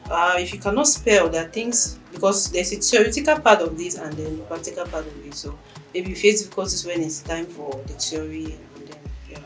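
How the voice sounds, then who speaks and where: A woman's voice: lowish voice, brisk, soft background music. A young woman, a spa esthetician answering interview questions plainly and with confidence, in a small treatment room. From Cameroon. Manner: plain, self-assured, brief answers.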